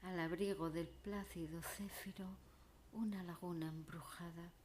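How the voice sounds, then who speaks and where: A woman declaiming poetry in a solemn, theatrical way, with deliberate pauses between phrases. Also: lowish voice, steady tone, a little mellow, a little hiss or hum. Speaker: poet reciting own poem.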